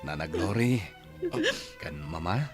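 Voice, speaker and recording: Forgiving brother, earnest, Ilocano accent, animated intonation, radio drama, music clearly behind. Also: male voice, low voice, slow pace. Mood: hopeful. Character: earnest, forgiving brother reconciling a broken family.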